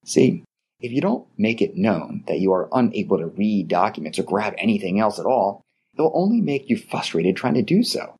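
A middle-aged man hosting a scripted etiquette advice podcast, speaking in a polite, measured way. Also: on the low side, husky. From Baltimore, Maryland area. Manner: polite, measured, lively delivery. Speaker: podcast host.

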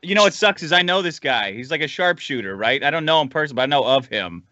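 Sardonic man with a sharp, bright voice. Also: a little high, lively intonation, quick pace, no room sound, highs cut off. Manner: sardonic, mocking, swearing. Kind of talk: casual, profane podcast chat.

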